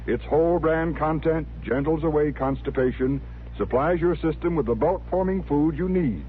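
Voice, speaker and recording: A male announcer with a mid-pitched, very animated voice reads a 1950s radio ad. His delivery is polished and emphatic. The sound is narrow and tinny, with faint music behind. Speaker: commercial announcer. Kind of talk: 1950s radio advertising read, scripted. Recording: tinny old radio broadcast.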